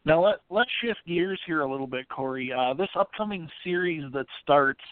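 Higher-voiced male interviewer, phone-like sound. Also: animated, normal speed, no room sound. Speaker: podcast host, interviewer. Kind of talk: conversational sports interview questions. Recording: tinny phone-like band.

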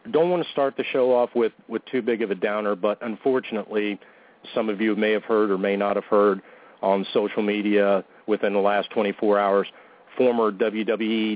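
A man hosting a wrestling talk show, joking around and reading plugs in a goofy, self-deprecating way. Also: fairly low pitch. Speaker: podcast host. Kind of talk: casual radio-show banter and announcements. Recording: tinny phone-like line.

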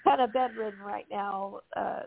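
A woman's voice: mid-range voice, fairly even intonation, moderate pace. A woman hosting a casual call-in radio chat, losing her voice, chatty and laughing often. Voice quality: gravelly, husky. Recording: tinny dry phone line.

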